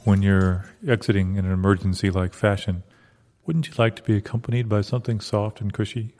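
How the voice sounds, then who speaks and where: A man with a low, very mellow voice trades improvised comic banter. His delivery is dry and understated, played completely straight. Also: flat delivery, fairly quick. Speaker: improv comedy performer.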